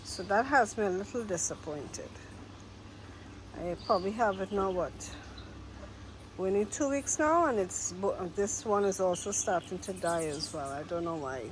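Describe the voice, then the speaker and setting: A woman with a nasal, stuffed-up voice gives a slow, casual tour of her garden, naming plant after plant. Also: medium pitch, expressive, poor audio. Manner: slow, unhurried, pointing out plants one by one. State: nasal from a cold. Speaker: gardening vlogger. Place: outdoor garden. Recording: outdoor noise with birdsong. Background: birds singing.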